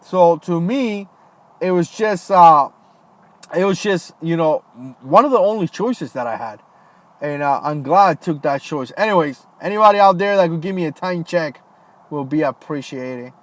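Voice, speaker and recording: Chatty former Marine livestream host. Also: male voice, on the high side, animated, normal speed, no room sound. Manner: loose, friendly, lots of fillers and "man", "dude". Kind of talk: casual livestream chat reading comments. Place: home, Florida.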